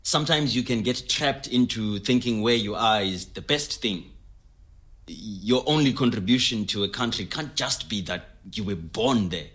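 Audio drama role: philosophical man, lowish voice, deliberate, earnest, keen to be understood. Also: animated, a bit bright. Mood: earnest, urging. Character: Zimbabwean man, earnest, philosophical, talkative filmmaker. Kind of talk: scripted oral-history audio drama told as interview.

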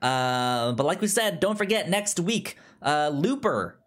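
A man co-hosting a casual film podcast, chatting in a halting way and often repeating words as he goes. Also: fairly high pitch, expressive, a bit bright. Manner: halting, with stuttered word repeats. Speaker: podcast co-host.

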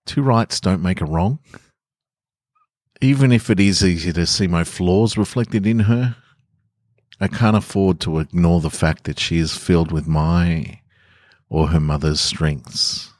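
A man reading a first-person story as a reflective, tender father, measured and earnest. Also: sits low, no echo, clean studio sound.

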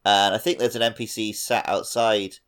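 A man co-hosting a casual gaming podcast in a somewhat low voice. He explains things step by step in an easygoing way, with relaxed fillers. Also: normal speed, smooth. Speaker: podcast co-host.

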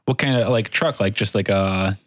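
A man's voice: fairly low pitch, moderate pace, fairly bright tone, dry recording, studio quality. A man hosting a podcast interview, asking casual, curious questions full of "like.". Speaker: podcast host. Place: podcast studio.

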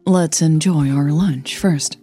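A woman's voice: sits low, slow, mellow, breathy edge, soft background music, exaggerated voice. A woman voicing an insecure woman in love who hides her hurt in an audio drama, restrained and self-doubting.

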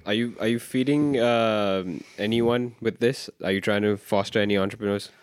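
Young man hosting a podcast, deep-toned. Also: normal speed, clear, resonant tone, no echo, studio quality. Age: young adult. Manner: relaxed, joking, brief backchannels. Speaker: podcast host. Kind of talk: casual podcast conversation.

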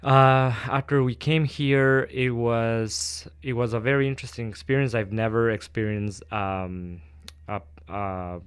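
A young man from Ecuador, giving thoughtful, hesitant interview answers full of pauses and restarts. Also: mid-range voice, steady tone, clear, resonant tone, dry recording. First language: Spanish. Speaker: guest, doctoral student.

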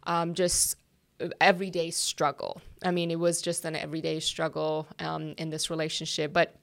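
Young female guest, slight Persian accent, earnest and halting, lowish clear voice. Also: monotone. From Iran. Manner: earnest, hesitant with many ums. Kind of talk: telling her life story. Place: office.

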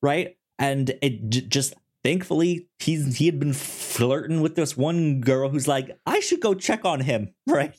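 A man co-hosting a casual film discussion, speaking haltingly with frequent stammers and repeated words, sometimes animated. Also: on the high side, breathy edge. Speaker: podcast co-host.

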